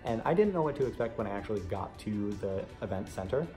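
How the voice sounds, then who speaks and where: A man's voice: fairly low pitch, expressive, quick pace, over music. A young American man telling a story casually to camera in a chatty, conversational way. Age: young adult. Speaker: vlogger sharing an audition experience.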